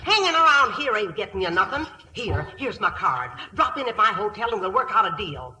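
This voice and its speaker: A woman's voice: medium pitch, big pitch swings, a little fast, close to an everyday voice. An actress playing a brash, folksy, scheming mother, blunt and slangy, in old-time radio comedy style. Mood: conspiratorial, coaxing. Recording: old radio recording, faint noise.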